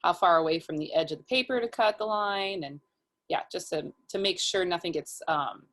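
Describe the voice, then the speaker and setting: A woman's voice: lowish voice, normal speed, fairly bright tone. A woman speaking as an illustrator guest in a casual interview, explaining her process step by step in informal language.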